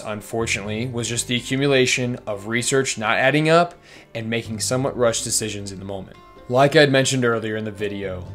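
A man's voice: mid-range voice, a bit bright. A young man narrating a vlog in voice-over, candid and self-deprecating as he looks back on his mistakes. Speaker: fishing vlogger.

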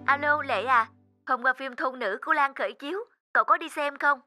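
A woman's voice: sits high, brisk, over loud music, lightly acted. A woman voicing an eager, friendly young female student in a cartoon, speaking expressively. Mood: excited. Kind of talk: dubbed cartoon dialogue.

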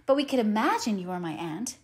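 A woman's voice: medium pitch, sing-song, fairly quick, lightly acted. A woman voicing an eager, dreamy orphan girl who talks earnestly and effusively, as in an audiobook. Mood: wistful, pleading. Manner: effusive, earnest, dramatic phrasing. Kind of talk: audiobook character voice.

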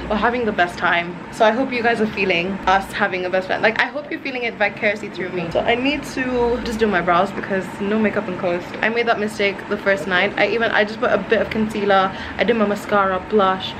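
A woman's voice: medium pitch, background noise. A young woman in her twenties chatting casually to her camera as a vlogger, upbeat and self-mocking. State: hoarse, voice not back. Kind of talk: casual vlog chat to camera. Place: hotel bedroom. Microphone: handheld camera close to face.

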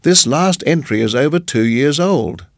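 A man's voice: on the high side, expressive, measured pace, no echo, clean studio sound. A man voicing a polite young male lodger in an audiobook, musing and earnest, a little hesitant. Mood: puzzled. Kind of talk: audiobook character voice.

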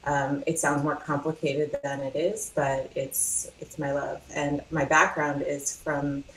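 Echoey video call, woman in her 30s with a low voice explaining stuff, bit of noise. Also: level tone, unhurried, a bit bright. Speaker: guest, leadership trainer. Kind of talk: explanatory interview talk. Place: small indoor room, video call. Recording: roomy echo, faint noise.

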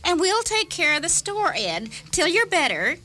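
A woman's voice: extremely high pitch, big pitch swings, normal speed, bright, forceful tone, heavily acted. A woman playing a fussing, reassuring middle-aged wife in a dramatised story, coddling as she talks. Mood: soothing.

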